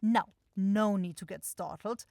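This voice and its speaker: A woman's voice: medium pitch, a little slow, creaky, darkish tone, dry recording, clean studio sound. A woman playing a self-assured, impatient demon shopkeeper in a fantasy ASMR audio roleplay, with a theatrical, coaxing salesy manner. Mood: fussy, professional. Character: bossy, salesy demon-like soul shop keeper sister, impatient.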